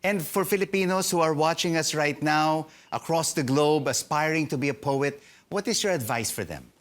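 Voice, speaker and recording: A male news anchor in a TV studio, asking measured interview questions with frequent ums. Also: a little high. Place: television studio.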